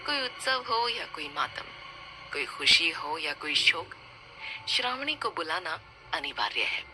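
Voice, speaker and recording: A woman tells a horror tale in a fairly low voice that swings widely in pitch. Her phrasing is deliberate and theatrical, built for suspense. Music plays clearly behind her. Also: very animated, bright, forceful tone. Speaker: storyteller, narrator. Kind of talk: dramatic scripted horror story narration. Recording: music bed under the voice.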